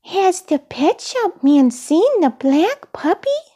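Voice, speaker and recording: A small, childlike storybook monster acted out by a woman speaks far up in a high register, polite and eager. The delivery swings up and down with earnest, simple phrasing. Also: very animated, slow pace, warm tone, heavily acted. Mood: excited. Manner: childlike, refers to self in third person.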